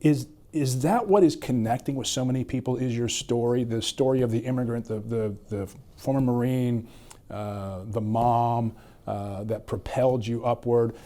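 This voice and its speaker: A man's voice: medium pitch, moderate pace, warm tone. A man hosting a podcast interview, asking long, rambling questions that often restart. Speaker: podcast host. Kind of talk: conversational interview.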